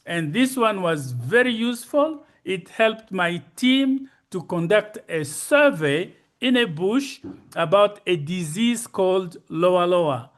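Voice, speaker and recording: An older man in his sixties or beyond with a Congolese accent, giving a slide presentation in a deliberate, explanatory manner and repeating key phrases for emphasis. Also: sits high, expressive. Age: 60s or older. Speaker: conference presenter.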